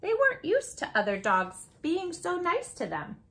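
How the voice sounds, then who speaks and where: A woman's voice: very high voice, wildly swooping pitch, normal speed, fairly bright tone. A woman hosting a children's read-aloud, narrating a storybook expressively and putting questions to her young listeners.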